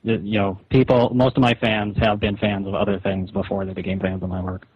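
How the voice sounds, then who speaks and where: A man's voice: fairly low pitch, little pitch movement, fairly quick, breathy edge. A man arguing on a phone call in a blunt, condescending, persuasive manner. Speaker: rival webcomic creator. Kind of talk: phone argument. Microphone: telephone. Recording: muffled echoey phone call.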